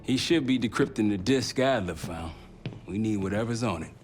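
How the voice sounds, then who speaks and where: A man's voice: on the low side, expressive, moderate pace, close to an everyday voice. A man playing an earnest, loyal young American covert agent in a video game cutscene, talking in casual slang. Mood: casual, impatient. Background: dramatic music.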